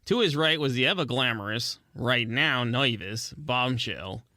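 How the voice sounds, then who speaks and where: A man's voice: mid-range voice, animated, measured pace, sharp tone, no room sound, studio quality. A man narrating as a wry, world-weary, slangy hard-boiled gumshoe in old detective radio style. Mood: wry narration. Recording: music under the voice.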